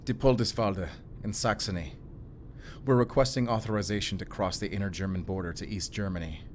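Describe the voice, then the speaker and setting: A stoic werewolf hunter with a fairly low, steady voice, as a man acts him out, calm and matter-of-fact with a dry wit. He sounds like he is in an audio roleplay drama, with a faint hiss under the voice. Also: brisk, close to an everyday voice. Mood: grave. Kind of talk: cinematic audio roleplay acting.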